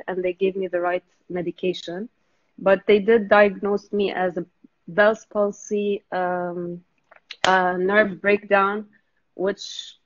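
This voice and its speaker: Woman on a video call, earnest and steady, dry muffled sound. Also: mid-range voice, dry recording. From Lebanon. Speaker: guest. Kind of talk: telling her story in an interview.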